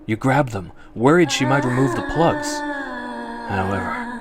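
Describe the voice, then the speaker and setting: Guy narrating a creepy radio horror tale, hushed and dramatic, pitch moves around a lot. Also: animated, measured pace. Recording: music behind the voice. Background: music.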